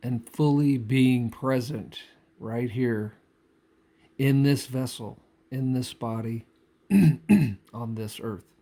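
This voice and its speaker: A man speaks very slowly in a mellow, dark voice. He delivers an off-the-cuff spiritual talk, pausing often and circling back over his phrases with deliberate care. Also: medium pitch, steady tone. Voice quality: frequent throat clearing. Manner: halting, deliberate phrasing with pauses and repetition. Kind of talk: unscripted spiritual monologue.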